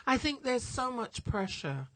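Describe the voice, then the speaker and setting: A woman's voice: fairly high pitch, sing-song, measured pace, no room sound. A woman from England hosting an interview, asking thoughtful questions with an English accent. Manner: thoughtful questioning, supportive murmurs. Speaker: host. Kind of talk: casual interview.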